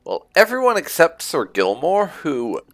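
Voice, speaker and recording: A man hosting a gaming video with casual roleplay commentary, speaking in a halting, thoughtful way on a close mic. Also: a little high, huge pitch swings, fairly bright tone. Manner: halting, thoughtful delivery with murmured hums. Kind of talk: casual let's play commentary, reading dialogue choices aloud in roleplay.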